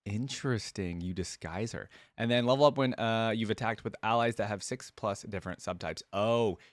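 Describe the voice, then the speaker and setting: A man's voice: on the low side, normal speed, no echo. A man hosting a reaction video, chatting casually and rambling his thoughts to viewers on a close mic. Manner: rambling, musing, addresses viewers.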